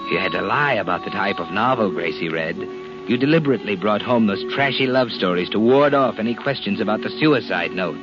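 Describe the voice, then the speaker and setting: Man acting a low, sinister radio mystery narrator. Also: expressive, brisk, lightly acted. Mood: sardonic. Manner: insinuating, addresses the culprit directly. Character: sinister, mocking all-knowing male mystery narrator. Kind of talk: 1940s radio suspense narration. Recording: muffled old radio recording. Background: prominent dramatic music.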